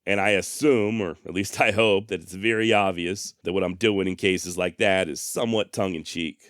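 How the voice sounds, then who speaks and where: A man hosting a podcast in a deep voice, talking casually and plainly to his listeners with emphatic, salty phrasing, close on a studio microphone. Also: fairly even intonation, normal speed, a bit bright, no room sound. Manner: plainspoken, mildly profane, emphatic. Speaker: podcast host. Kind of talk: casual direct address to listeners. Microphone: close dynamic studio microphone, processed.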